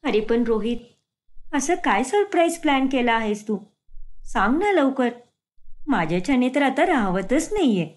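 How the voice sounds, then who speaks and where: Cartoon role: bright, eager young woman, higher voice; a woman voices her. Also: sing-song, normal speed. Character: young mistress, eager and sweet.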